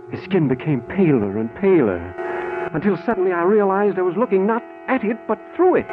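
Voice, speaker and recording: A man's voice: medium pitch, natural voice. An actor playing an anxious, weak-willed man, a reluctant accomplice, in the earnest style of a 1940s radio drama. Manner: hesitant in dialogue, grave and measured when narrating. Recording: tinny old radio recording with music.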